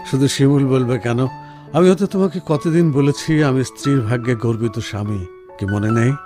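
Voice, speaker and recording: A male actor plays a devoted husband in a radio play. His voice sits at a middling pitch and he persuades softly, with tender patience. Music plays clearly behind him. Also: moderate pace, lightly acted. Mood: amused, reassuring. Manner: tender, reasoning, gently persuasive. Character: affectionate, well-off husband reassuring his sensitive wife. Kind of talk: Bengali radio play acting. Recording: music under the dialogue. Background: background music.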